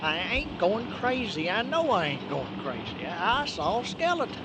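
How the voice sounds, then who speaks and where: A folksy trucker with a high voice, stammering and excitable. He is easily spooked, in old radio horror-comedy style, over clear background noise and vehicle rumble. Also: normal speed. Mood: shaken, bewildered. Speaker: actor in radio drama.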